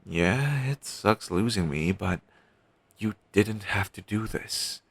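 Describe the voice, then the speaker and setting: A man playing a weary, caring undead best friend in an intimate audio roleplay, talking casually and earnestly with halting phrases. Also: fairly low pitch, expressive, gravelly, breathy edge, dry recording, heavily acted. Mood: worried, gentle. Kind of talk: audio roleplay, intimate one-sided dialogue.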